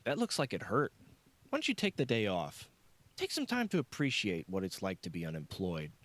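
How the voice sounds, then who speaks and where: A man's voice: lowish voice, wildly swooping pitch, moderate pace, fairly bright tone. Man doing a snide, arrogant senior scientist. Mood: smug. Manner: snide, condescending, curt. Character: arrogant, dismissive, sarcastic middle-aged male senior scientist. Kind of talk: audiobook character dialogue.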